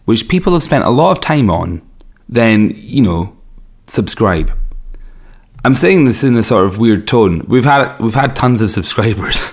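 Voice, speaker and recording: A man with a slightly raspy, worn throat hosts a podcast in a casual, rambling way with wry, self-mocking asides. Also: deep voice. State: strained throat, losing his voice. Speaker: podcast host and record label owner. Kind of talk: casual solo podcast introduction.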